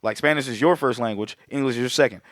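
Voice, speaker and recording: A man's voice: medium pitch, quick pace, fairly bright tone, no room sound, clean studio sound. A young man co-hosting a podcast, rambling in casual chat with many restarts. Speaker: podcast co-host.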